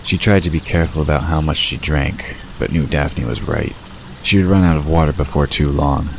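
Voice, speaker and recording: A man's voice: rumbling low, steady tone, normal speed. A man reading scripted story narration as the narrator of an audio drama. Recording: constant ambience noise behind narration.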